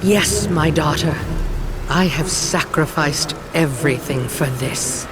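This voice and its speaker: A woman's voice: low-pitched, very noisy. A cold, commanding witch mother. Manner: measured, authoritative. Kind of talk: video game cutscene acting. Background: game music.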